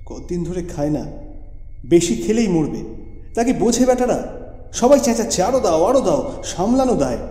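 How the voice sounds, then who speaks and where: A man voicing an eager, idealistic teenage boy chattering excitedly in a story. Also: high voice, normal speed. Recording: echoey reverberant voice over music.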